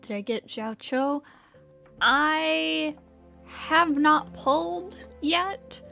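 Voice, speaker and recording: A woman's voice: high voice, expressive, extremely slow, creaky, pushed and bright. A young adult woman chatting casually as a game streamer, rambling and hesitant, with game music behind her. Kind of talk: casual livestream chat.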